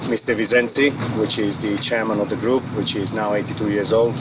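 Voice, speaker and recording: A man's voice: fairly low pitch, little pitch movement, brisk. A man with an Italian accent, an executive giving an earnest interview at an outdoor event, often restarting phrases. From Italy. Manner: earnest, repeats and restarts phrases, non-native grammar. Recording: far from the mic with loud background noise.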